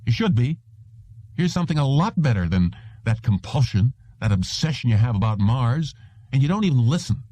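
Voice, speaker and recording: A man's voice: medium pitch, big pitch swings, normal speed, warm tone, no room sound, voice pushed up. A man voicing a cross, nagging, exasperated wife with a harsh, scolding, earnest manner. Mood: earnest pleading.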